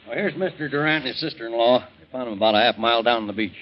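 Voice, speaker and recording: Man playing police sergeant, fast delivery, medium pitch, matter-of-fact, vintage radio mystery, dull sound. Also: lightly acted. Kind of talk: 1940s radio acting.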